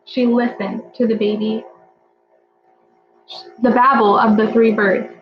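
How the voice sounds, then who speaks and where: A woman's voice: fairly high pitch, flat delivery, slow pace. A woman reading a children's picture book aloud as a storyteller. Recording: muffled audio with music. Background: music.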